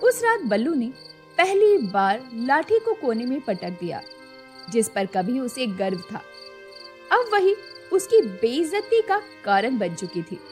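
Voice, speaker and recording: A woman's voice: fairly high pitch, big pitch swings, takes it slowly, soft background music. A woman narrating a scripted story in a dramatic storytelling style.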